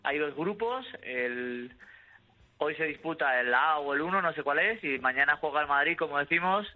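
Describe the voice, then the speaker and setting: Man on a tinny phone line, slightly high. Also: moderate pace. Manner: rattles off fixtures and results, with hesitations. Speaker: sports journalist. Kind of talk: sports news chat. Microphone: telephone line.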